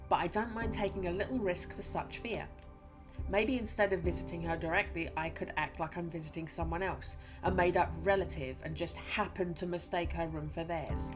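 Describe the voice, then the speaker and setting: Lady reading out a detached fear-craving musician, stuffy nose, lowish voice, faint music behind. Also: steady tone, normal speed, close to an everyday voice. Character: fear-hungry young musician, cold and aloof.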